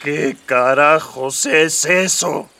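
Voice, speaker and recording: An actor playing a hapless, panicky Earthling castaway in a comic radio soap, plaintive and groaning. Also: male voice, fairly high pitch, drawn-out words, pushed and bright, recorded from a distance, exaggerated voice. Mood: whimpering, bewildered.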